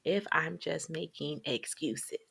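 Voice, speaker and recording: A woman's voice: low voice, takes it slowly, vocal fry, husky. A woman co-hosting a faith podcast, talking casually and hesitantly, often trailing off. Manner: hesitant, rambling, trails off softly. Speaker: podcast co-host.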